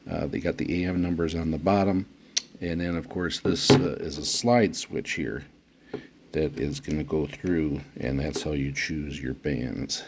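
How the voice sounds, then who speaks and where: A man giving unscripted unboxing commentary as a product reviewer, speaking haltingly with frequent pauses mid-phrase. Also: very deep voice, fairly even intonation, background noise.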